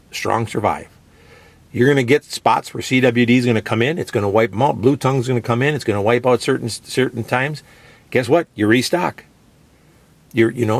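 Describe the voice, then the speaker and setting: A man's voice: medium pitch, creaky, no echo. A man hosting a radio show, talking in an emphatic, opinionated, casual way and leaning on "you know" and "guess what". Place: radio studio.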